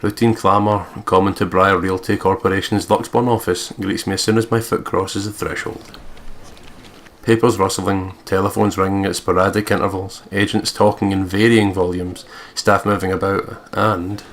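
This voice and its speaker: A man's voice: low voice, level tone, normal speed, slight background noise. A man co-hosting a let's play, reading visual novel narration aloud with joking asides.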